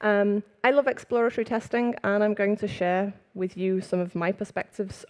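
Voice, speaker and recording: Liverpool woman on stage at a conference, chatty, quick when excited, stage mic. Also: medium pitch. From England. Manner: fast when excited, laughs often, chatty. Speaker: conference speaker. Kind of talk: conference talk. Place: conference room with audience.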